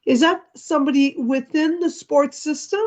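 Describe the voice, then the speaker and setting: A woman's voice: sits high, normal speed. Woman politely questioning over video call. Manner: polite, gently probing questions with restarts. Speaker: commission interviewer. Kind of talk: video meeting interview. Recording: slightly muffled video call audio.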